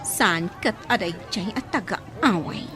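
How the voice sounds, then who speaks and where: A woman's voice: medium pitch, expressive, takes it slowly, crowd noise. A woman playing a scheming, lovesick young woman with melodramatic delivery in an Ilocano radio drama. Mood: scheming, smug.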